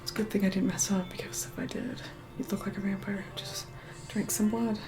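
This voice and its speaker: A woman playing a reluctant, haughty vampire in a whispered ASMR roleplay, hushed, bossy and begrudging. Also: mid-range voice, flat delivery, fairly quick, over music, lightly acted.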